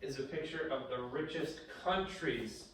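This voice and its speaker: A man's voice: medium pitch, a little slow. A man teaching a history lesson in casual, joking conversation, quizzing a student. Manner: jokey, conversational, quizzing questions. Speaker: high school history teacher. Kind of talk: casual one-on-one classroom teaching. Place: classroom. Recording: echoey room, far-off mic, background noise.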